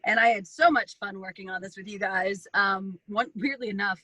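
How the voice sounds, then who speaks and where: A woman from Florida, a voice actor with a deep, gritty voice, chatting and joking as a guest. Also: moderate pace, pushed and bright, no room sound, rough recording. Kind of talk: casual self-introduction.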